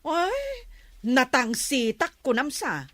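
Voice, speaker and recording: Actress as gossipy female friend, audio drama, playful, slow drawn-out phrasing, swooping pitch. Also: sing-song, fairly bright tone, no room sound, heavily acted. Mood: confiding. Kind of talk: Filipino audio drama acting.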